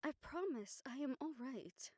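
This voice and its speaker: Woman acting composed, gentle noblewoman, close and dry. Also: sits high, expressive, no room sound. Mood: reassuring, dryly exasperated. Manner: measured, gentle, formal phrasing with deliberate pauses. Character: composed young noblewoman soothing her worried dragon bodyguard. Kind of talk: intimate audio roleplay acting.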